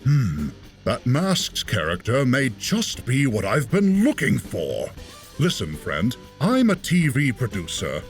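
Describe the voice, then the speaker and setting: A man's voice: medium pitch, wildly swooping pitch, takes it slowly, faint music behind, pitched below the performer's own voice, heavily acted. Slick promoter performed by a man, confident salesman patter, dropped register, comic-dub show-business type. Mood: scheming, pitching. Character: smooth male television producer, eager promoter.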